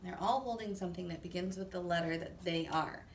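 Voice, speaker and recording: A woman reading a children's story aloud in an expressive, deliberate way. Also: fairly low pitch. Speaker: storybook reader. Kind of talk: children's read-aloud narration. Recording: echoey room, distant mic, faint noise.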